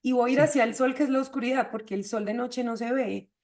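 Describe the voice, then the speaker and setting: Female host, slightly high voice, didactic delivery with rhetorical check-in questions. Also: steady tone, quick pace, a little mellow. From Colombia. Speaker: host of an astrology show. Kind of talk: explanatory conversation on astrology.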